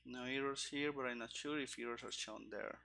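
A man in his thirties thinking aloud as he codes on a stream, halting and muttering to himself into a headset microphone, with keyboard clicks behind him. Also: mid-range voice, fairly even intonation, creaky, bright, forceful tone, slight background noise. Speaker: programmer streaming game development. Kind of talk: thinking aloud while live coding. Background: keyboard typing and clicking.

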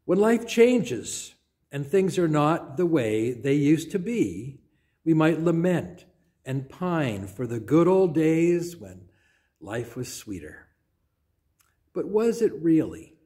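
Older man, pastor, unhurried sermon delivery, soft trailing phrase endings, small church, close camera mic. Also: fairly high pitch, very animated. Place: small church sanctuary, indoors. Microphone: phone or camera at close range.

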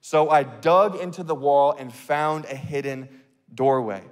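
A man in his thirties preaching a sermon on a church stage into a handheld mic, earnest and conversational, with quick bursts and restarts. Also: a little high, long reverb tail. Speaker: pastor.

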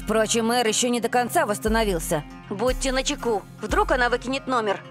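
A wary young cartoon boy voiced by a woman, thoughtful and sensible. The voice is slightly high and boyish, with faint music behind. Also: brisk, close to an everyday voice. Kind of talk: animated cartoon dub.

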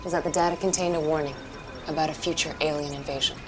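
An actress playing a serious, businesslike military intelligence officer in a game cutscene, reporting in a matter-of-fact way. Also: female voice, low-pitched, steady tone, fast, over music, lightly acted. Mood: serious, urgent. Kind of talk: dramatic game cutscene acting.

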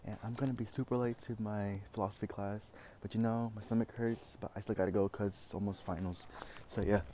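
A man's voice: lowish voice, fairly even intonation, brisk, mellow, slight background noise. A young man chatting informally and in a rambling way to a handheld camera for a daily vlog. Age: young adult. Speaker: vlogger. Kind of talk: casual daily vlog chat. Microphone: phone or camera at arm's length.

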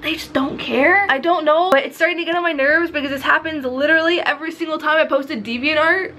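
Higher-voiced young American woman, candid vlogger. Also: sharp tone, a little hiss or hum. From Florida, United States. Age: young adult. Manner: candid, informal, swearing. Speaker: vlogger and visual novel developer. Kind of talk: casual vlog chat about own project. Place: small indoor home room.

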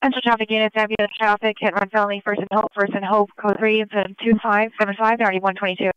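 A woman's voice: medium pitch, little pitch movement, quick pace, clean tone, no echo, highs cut off. A woman working as a police dispatcher, reading out calls in a clipped, procedural way on scanner radio. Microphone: radio dispatch channel.

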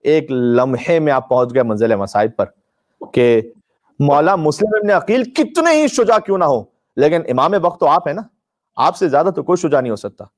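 A man's voice: on the high side, expressive, normal speed. Emphatic male preacher in impassioned sermon. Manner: emphatic, declamatory, mournful storytelling delivery. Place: indoor room with draped religious banners, live stream.

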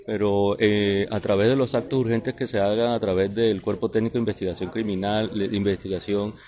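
Low-voiced Colombian policeman, formal and halting. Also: fairly even intonation, darkish tone. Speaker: police colonel. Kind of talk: press interview answers. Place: outdoors at night outside a building. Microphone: handheld reporters' microphones.

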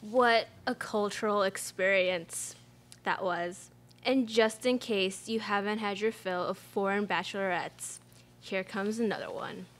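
A woman's voice: medium pitch, a little slow, natural voice. A woman playing a weary, sardonic dating-event announcer in a radio play, dry and a little exasperated. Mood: dry, announcing.

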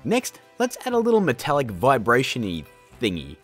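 Husky man, very animated tutorial host. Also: mid-range voice, moderate pace, soft background music. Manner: jokey, informal, emphatic. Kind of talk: casual software tutorial narration.

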